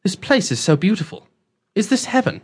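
A man's voice: high-pitched, sing-song, a little fast, breathy edge, pitched above the performer's own voice, exaggerated voice. A man narrating an audiobook, voicing a polite, earnest young adventurer with an anxious, urgent manner. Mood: bewildered.

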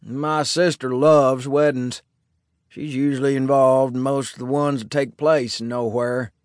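A man voicing a kindly, easygoing older Old West sheriff in a storybook, with a folksy, hesitant, calming manner. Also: mid-range voice, measured pace, clean tone, no echo, clean studio sound, close to an everyday voice. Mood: warm.

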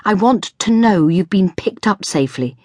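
A woman's voice: lowish voice, wildly swooping pitch, normal speed, slightly rough, dry recording, clean studio sound, voice pushed up, full character voice. A woman voicing a frazzled, sweary mother in a storybook, exasperated and fretting over her son, snapping and shrieking. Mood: anxious, nagging.

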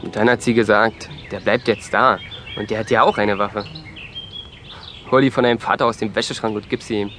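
An actor playing a gruff, defensive, hot-tempered young man in an audio drama. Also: fairly low pitch, fairly even intonation, a little fast, over music, lightly acted. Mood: bitter, confiding. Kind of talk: German audio drama acting.

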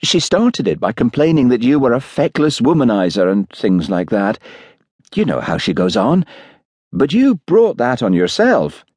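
A man's voice: mid-range voice, big pitch swings, normal speed, a little mellow, slightly rough, no room sound, clean studio sound, pitched above the performer's own voice. A man voicing a friendly, frank, slightly vague doctor's wife in an audiobook. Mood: comfortable, frank. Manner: vague, cheerful, chatty. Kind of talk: audiobook character voice.

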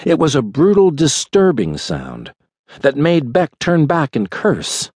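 A man reading a thriller audiobook in a measured, dramatic storytelling manner. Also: fairly high pitch, wildly swooping pitch, darkish tone, husky, no echo. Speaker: audiobook narrator. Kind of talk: scripted thriller audiobook narration. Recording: dry studio recording.

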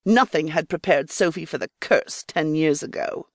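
A woman's voice: low-pitched, normal speed, dry recording, clean studio sound. A woman narrating a scripted fiction audiobook.

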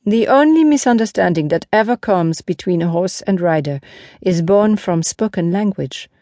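A woman voicing a calm, gently reproving male horse tamer in a storybook, measured, earnest and instructive. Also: on the low side, no room sound, studio quality, close to an everyday voice.

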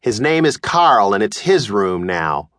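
A prim, chilly middle-aged mother speaks in a raised, scolding tone, as a man puts her on. Her voice swings up and down in a lively way. The sound is dry. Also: measured pace, no echo, heavily acted. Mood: cold. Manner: primly scolding, higher-pitched.

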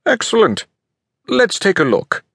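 A portly old manuscript expert for an audiobook. He is pompous and courteous, with a breathless wheeze and rolled Rs. His pitch swoops theatrically, and the recording is close and dry. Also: huge pitch swings, unhurried, vocal fry, a bit bright, husky, no room sound, full character voice. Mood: jovial. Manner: pompous, emphatic, rolls his R. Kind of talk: audiobook character voice.

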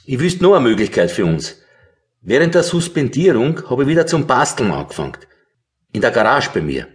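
An actor playing a scheming out-of-work detective in a comic radio play, casual and matter-of-fact, with a Viennese accent. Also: male voice, medium pitch, sing-song, brisk, close to an everyday voice. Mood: earnest, scheming. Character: scheming out-of-work male detective, earnestly absurd.

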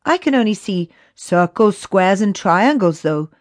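Woman as a lively, bossy young boy. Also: medium pitch, a little slow, no room sound, clean studio sound, natural voice. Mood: matter-of-fact. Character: bossy, sensible young boy leading his friends. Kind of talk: children's audiobook character voice.

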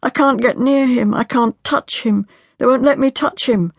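A woman's voice: normal speed, husky, no room sound. Woman reading as a desperate young wife in her twenties, tormented and pleading, dry close sound. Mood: anguished. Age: 20s. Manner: pleading, tormented, rising to a high strained pitch. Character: anguished young woman, desperate, grief-stricken. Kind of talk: audiobook character voice.

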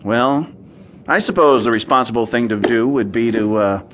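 A man's voice: mid-range voice, moderate pace, background noise, lightly acted. An actor playing a shrewd, brusque male defense lawyer in a radio drama, with a clipped, commanding, businesslike manner.

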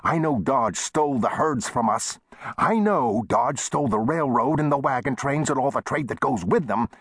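A man's voice: sing-song, normal speed, breathy edge, dry recording, studio quality, full character voice. Man playing a touchy, indignant small-town storekeeper. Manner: heated, complaining, raised pitch.

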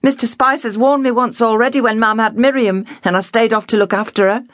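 Woman reading as a high-voiced defiant young girl, urgent and pleading, audiobook read, dry close sound. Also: steady tone, a little fast, dry recording, lightly acted. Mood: indignant. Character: spirited thirteen-year-old working girl, defiant.

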